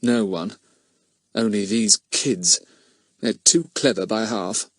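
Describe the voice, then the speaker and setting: A man's voice: fairly low pitch, slow pace, dry recording. A man voicing a cornered male culprit, resentful and confessing, in a storybook reading. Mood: resigned confession.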